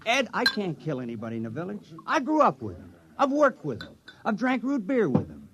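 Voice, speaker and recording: High-voiced, earnest village man. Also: huge pitch swings, muffled recording, crowd noise, exaggerated voice. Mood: pleading. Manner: halting, earnest. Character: earnest, reluctant village fisherman appointed town criminal. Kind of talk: 1950s radio acting.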